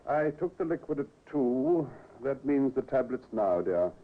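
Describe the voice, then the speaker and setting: A sickly, proud husband in his fifties in a vintage radio play. He is stiff, fretful and hesitant, with very varied pitch. The recording is tinny, with faint hiss. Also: very animated, unhurried. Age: 50s. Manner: formal, hesitant, peevish. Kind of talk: 1950s radio drama acting.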